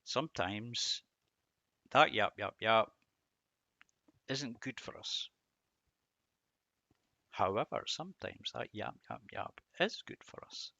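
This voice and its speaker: A man's voice: on the low side, animated, slow, vocal fry, long pauses, sharp tone, no echo, dull audio. A middle-aged man giving a reflective devotional talk on scripture, asking rhetorical questions and repeating words for emphasis. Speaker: Bible teacher and host.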